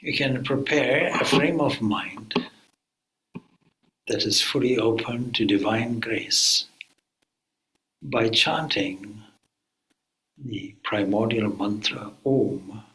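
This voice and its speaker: A man, a swami, leading a guided meditation in a calm, unhurried way with long pauses. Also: mid-range voice, low-quality recording.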